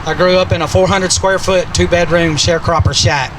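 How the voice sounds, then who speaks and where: Folksy CEO speaking outdoors. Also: male voice, sits high, fairly even intonation, a little fast, background noise. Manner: self-deprecating, folksy storytelling. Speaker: company CEO. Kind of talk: outdoor ceremony speech. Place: outdoors at a groundbreaking ceremony.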